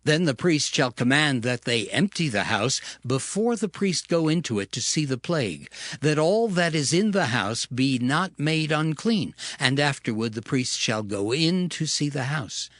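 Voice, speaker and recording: A man narrating scripture from a script in a measured, solemn manner. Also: a little high, lively intonation, a bit bright, dry recording, clean studio sound. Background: background music.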